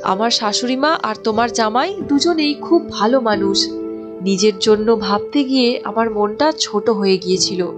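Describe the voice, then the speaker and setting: A woman's voice: medium pitch, lightly acted. A woman playing a sincere, dutiful young married daughter in a cartoon story, speaking in an earnest, reassuring way. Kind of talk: Bengali cartoon story acting.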